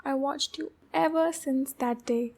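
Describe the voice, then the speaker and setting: A woman playing a shy, lonely, obsessive demon girl in love, speaking slowly and intimately in an ASMR roleplay with a breathy, halting delivery. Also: high-pitched. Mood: lovesick, sheepish. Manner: slow, breathy, halting, with soft giggles.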